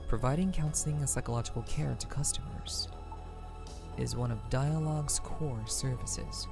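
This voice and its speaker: An earnest male counselor from a story game. He speaks in a measured, reflective manner with plenty of fry in his mid-pitched voice. Music plays clearly behind him. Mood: earnest, firm. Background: background music.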